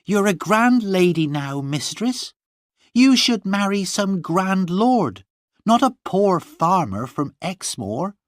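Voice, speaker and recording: A man voicing a sulky, defensive young female servant in a storybook reading, with a high, strained delivery. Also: very animated, slow pace, dry recording, studio quality, heavily acted.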